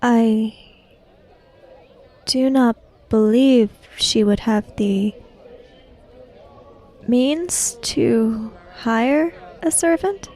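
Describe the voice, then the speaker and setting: A woman roleplaying a formal, reserved traveller in a samurai fantasy tabletop game, speaking in careful, measured phrases. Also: a little high, a little mellow. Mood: measured, pensive. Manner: formal, measured, avoids contractions. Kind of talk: in-character roleplay dialogue.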